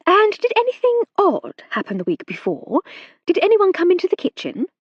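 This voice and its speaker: A woman voicing a brisk, clever aristocratic lady detective with a refined, theatrical manner. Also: very high voice, wildly swooping pitch, dry recording, muffled recording, pitched above the performer's own voice, heavily acted. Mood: urgent.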